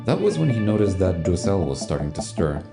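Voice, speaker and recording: Deep-voiced man narrating a story. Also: sing-song, brisk, creaky. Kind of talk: scripted dramatic storytelling narration. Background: music.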